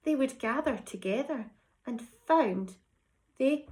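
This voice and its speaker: A woman reading a picture book to children with expressive, dramatic emphasis and chatty asides. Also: high voice, takes it slowly. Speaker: teacher reading a story to children.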